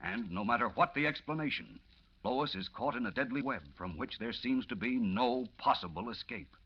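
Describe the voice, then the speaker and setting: A man's voice: medium pitch, normal speed, husky. A man narrating a 1940s radio serial in a grave, theatrical, suspenseful style. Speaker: announcer-narrator. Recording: dull old radio recording.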